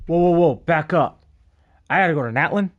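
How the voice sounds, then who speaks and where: A man's voice: a little high, animated, fairly quick, narrow, tinny audio, soft background music. A man giving casual live commentary as a game streamer.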